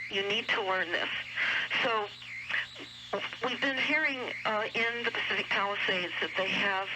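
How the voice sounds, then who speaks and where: Heavy shortwave static surrounds a woman with a mid-pitched voice. She talks like a broadcast commentator, deliberate and insistent, stressing her points as she explains. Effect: shortwave radio. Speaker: radio show guest commentator. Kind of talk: broadcast talk, earnest explanatory monologue. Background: loud radio static and noise.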